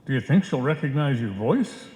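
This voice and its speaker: An actor playing an affable, curious announcer neighbour who is happy to oblige, in old-time radio comedy. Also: male voice, medium pitch, very animated, moderate pace, background noise, close to an everyday voice. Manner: obliging, inquisitive. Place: on stage in a live performance. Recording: echoey live hall recording, long reverb.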